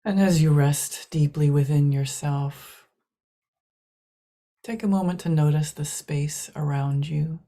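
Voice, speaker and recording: Female meditation guide: low, mellow, hushed delivery in short, spaced-out phrases. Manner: soft, hushed, long pauses between short phrases.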